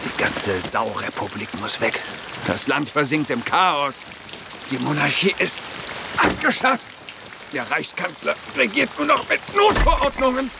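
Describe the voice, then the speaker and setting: Imperious old German emperor, radio drama: creaky, highish voice, wildly animated, blustering, loud rain and noise behind. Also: male voice, a little slow, heavily acted. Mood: indignant.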